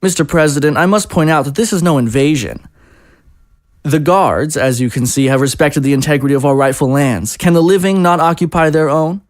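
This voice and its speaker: An actor playing a presidential aide who speaks in a polished, rhetorical, conciliatory way. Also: male voice, on the high side, brisk, dry recording, studio quality, lightly acted. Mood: persuasive. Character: smooth persuasive presidential aide, man.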